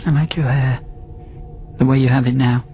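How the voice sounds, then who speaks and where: A man's voice: mid-range voice, fairly even intonation, normal speed, dark tone, natural voice. Radio drama role: quarantined spaceman, played by a male actor, wry, wounded, plain-spoken, over a faintly noisy ambient bed. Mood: bitter, wry. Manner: informal, blunt, sardonic, needy. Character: lonely, wry, bitter male astronaut held in quarantine.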